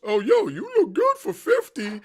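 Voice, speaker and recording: A man in his forties hosting a casual chat, animated, laughing often and repeating his phrases. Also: very high-pitched, moderate pace, husky. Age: 40s.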